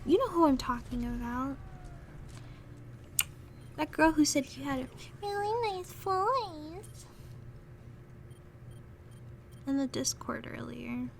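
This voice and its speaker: A woman's voice: sits high, expressive, unhurried, gravelly, long pauses, full character voice. A woman playing a jealous, pouty young gamer girlfriend in a casual, half-improvised roleplay audio. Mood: annoyed. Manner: pouty, grumbling, irritated. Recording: noisy room, clattering computer keyboard. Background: loud keyboard typing and background noise.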